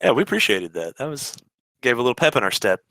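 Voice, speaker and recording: A man's voice: medium pitch, very animated, fast, sharp tone, dry recording. A man chatting casually as a guest on a podcast. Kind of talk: casual chat.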